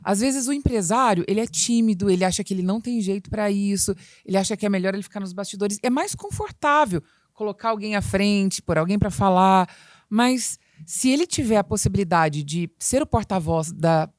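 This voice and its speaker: A woman's voice: mid-range voice, animated, brisk, darkish tone, dry recording, clean studio sound. A woman hosting a business podcast, steering the talk and giving her opinion point by point. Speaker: podcast host. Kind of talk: casual business chat.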